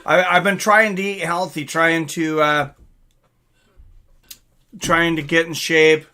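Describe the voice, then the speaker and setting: Bright-voiced man hosting a casual stream. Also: fairly high pitch, flat delivery, slow pace, smooth. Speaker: live stream host. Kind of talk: casual live reaction chat, reading out viewer comments.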